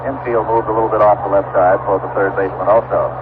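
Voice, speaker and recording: A man's voice: fairly low pitch, steady tone, fast talker. An American man calling baseball play-by-play live on a vintage radio broadcast. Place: outdoor ballpark broadcast. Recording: vintage radio broadcast, tinny narrow band, loud noise.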